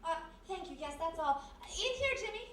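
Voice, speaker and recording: A woman playing a perky, quick-thinking detective's sidekick with a coaxing manner, in old-time radio mystery style. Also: extremely high pitch, expressive. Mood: brisk, eager. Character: quick-thinking young female detective's sidekick, former vaudevillian. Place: live performance in a roomy historic house. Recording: echoey live stage, distant mic, hiss.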